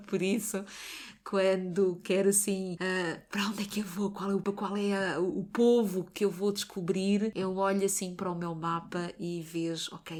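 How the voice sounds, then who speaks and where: Middle-aged woman therapist hosting her own podcast; lower-pitched, chatty and expressive, restarts often. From France. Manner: chatty, self-correcting, expressive with frequent restarts. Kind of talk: personal monologue podcast.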